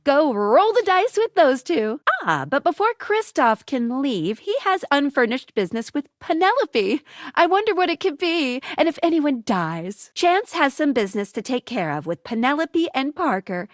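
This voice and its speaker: A woman playing a perky, playful, helpful female guide character in a video game, delivering animated, punning scripted lines. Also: high voice, moderate pace, dry recording, clean studio sound. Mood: playful, informative. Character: perky, quippy, helpful female guide to dateable household objects.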